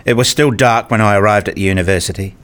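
A man playing an earnest, dryly sarcastic university researcher in a scripted radio play, with a deadpan, formal way of talking. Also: on the low side, fast, close to an everyday voice. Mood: reflective. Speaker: narrator and lead actor in a radio play. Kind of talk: first-person scripted radio play narration and dialogue.

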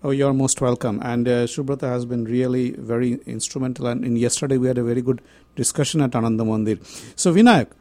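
Hesitant male radio host, dry mellow voice. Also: medium pitch, fairly even intonation, quick pace, no echo. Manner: hesitant, many fillers, polite and welcoming. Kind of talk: radio interview. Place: radio studio.

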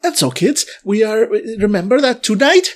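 A man's voice: sits high, sing-song, moderate pace, voice pushed up. A man voicing a young, plump, upbeat female homeroom teacher giving chirpy, sing-song announcements in a tabletop game.

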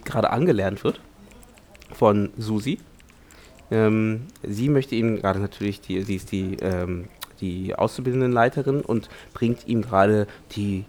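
A man's voice: on the low side, darkish tone. A man narrating an improvised scene setup, hesitant and often searching for his words.

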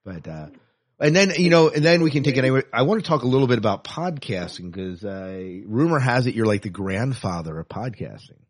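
A man's voice: medium pitch, sing-song, brisk, husky. A man hosting a podcast in a casual, conversational interview style. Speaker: podcast host.

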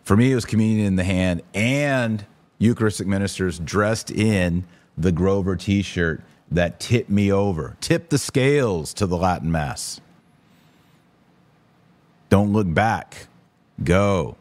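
A man with a low voice hosts a casual livestream podcast. He talks bluntly and conversationally to his viewers, and the recording is dry, free of room sound. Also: expressive, slow, a little mellow, no echo. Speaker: podcast host and author. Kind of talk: casual livestream commentary, reading viewer comments.

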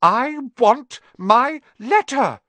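A man's voice: extremely high pitch, animated, drawn-out words, darkish tone, no room sound, voice pushed up, exaggerated voice. A man acting out an indignant, defiant young boy who protests insistently in an audiobook story.

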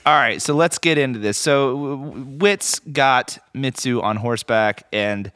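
An American man hosting a podcast, chatting casually and jokily about a movie in an animated way. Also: medium pitch, measured pace, clean tone, no room sound. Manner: jokey, animated. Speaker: podcast host. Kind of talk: casual comedic movie chat.

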